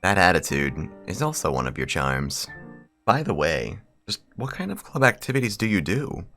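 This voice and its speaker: Man putting on a genteel, doting rich young lady, deep-voiced. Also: big pitch swings, moderate pace, sharp tone, soft background music, heavily acted. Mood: charmed, polite. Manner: formal, genteel, gushing politeness. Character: refined rich young lady, doting, formal, intensely devoted.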